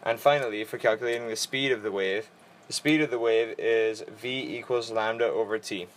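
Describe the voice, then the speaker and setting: A teenage boy reading vocabulary and equations aloud for a school presentation, in a steady, orderly way. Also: fairly low pitch, moderate pace, low-quality recording. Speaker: student presenter.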